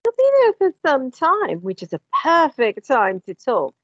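A woman playing a caring mother of grown children who speaks in a calm, motherly way. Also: high voice, measured pace, slightly rough, no echo, highs cut off, heavily acted.

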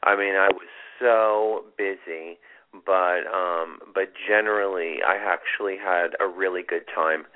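A man's voice: low-pitched, steady tone, measured pace. A man hosting a call-in astrology show on the radio, giving readings in a reassuring, conversational way. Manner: reassuring, conversational, says "you know" often. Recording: tinny phone-quality radio broadcast.

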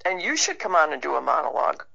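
A woman's voice: sits low, sing-song, fast talker, vocal fry, bright, forceful tone. A woman chatting casually and reflectively as an interview guest, informal and plain-spoken. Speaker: interview guest, actor and writer. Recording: dull lo-fi online-call sound.

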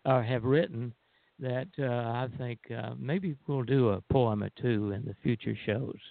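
A man with a creaky, gravelly voice takes his time over each phrase. He co-hosts a call-in radio show, and he comes through on a narrow, phone-like line. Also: medium pitch, steady tone, measured pace. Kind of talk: poem recitation, then casual show talk. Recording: band-limited phone line with echo.

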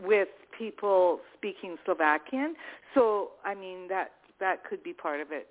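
A woman's voice: mid-range voice, unhurried. Canadian woman chatting on a phone line. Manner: chatty, searching for words. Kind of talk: casual call-in show chat.

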